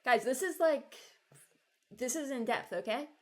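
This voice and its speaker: A woman's voice: high-pitched, measured pace. A young adult woman chatting casually as a video creator, rambling and hesitant as she thinks aloud. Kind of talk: casual reaction chat.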